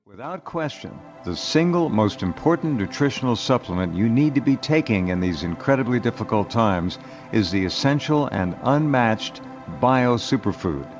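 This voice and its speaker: A man reading a scripted radio ad in an earnest, grave sales pitch. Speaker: ad announcer. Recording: dull lo-fi radio ad.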